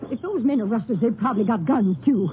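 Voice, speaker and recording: Actress playing a folksy American rancher's wife, old noisy radio. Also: female voice, on the high side, animated, fast talker, lo-fi sound, close to an everyday voice. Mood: anxious. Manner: folksy rural speech. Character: rancher's wife, warm, teasing, anxious. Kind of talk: 1950s radio western acting.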